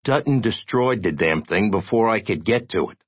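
Audiobook role: male reader as beat-up investigator, sardonic and blunt, husky, lively inflection. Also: on the low side, normal speed, no echo, muffled recording, pitched above the performer's own voice. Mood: weary. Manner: blunt, weary, tough-talking. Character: battered, weary, gruff, sardonic male private investigator.

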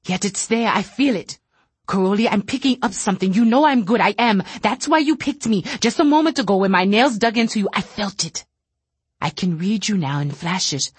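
A woman voicing a frail, nervous young male telepath who wails and snaps, husky-voiced. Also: mid-range voice, lively intonation, moderate pace, dry recording, pitched above the performer's own voice. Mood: frightened, frantic. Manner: frantic, wailing, then bitter and snappish.

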